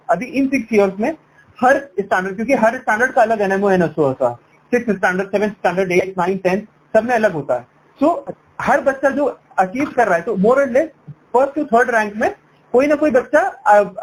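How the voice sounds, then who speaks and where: A man's voice: high voice, animated, moderate pace. A man working as an education sales counselor, explaining persuasively on a call, mixing Hindi and English and checking in with tag questions. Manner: switches between Hindi and English. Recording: noisy sales call recording.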